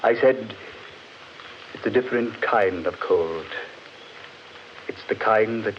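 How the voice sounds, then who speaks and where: An actor playing an uneasy, superstitious man in a 1940s radio horror drama, halting and dramatic, on a muffled old recording. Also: on the low side, a little hiss or hum. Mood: uneasy, chilled. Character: uneasy, superstitious man lost in eerie woods.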